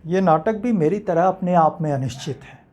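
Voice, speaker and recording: A man acting a theatrical monologue as an enigmatic, uncertain man who addresses his audience in a reflective, rhetorical manner with deliberate pauses. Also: a little high, a little mellow, close to an everyday voice. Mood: brooding, questioning. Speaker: actor.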